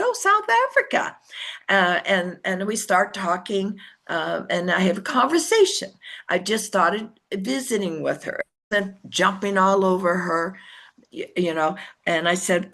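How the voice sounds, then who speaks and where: An older woman with a lowish, gravelly voice runs a sales training session. She talks in a chatty way and jumps into bright, animated voices when she acts out her chats with prospects. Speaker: network marketing leader. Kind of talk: motivational training talk.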